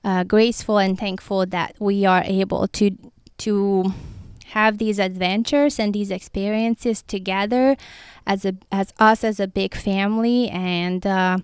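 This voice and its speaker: Chatty woman rambling with a crisp, smooth voice. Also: mid-range voice, fairly even intonation, unhurried, dry recording, clean studio sound. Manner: rambling, goes off on tangents. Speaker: podcast host. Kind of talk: casual solo talk. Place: outdoors by the sea.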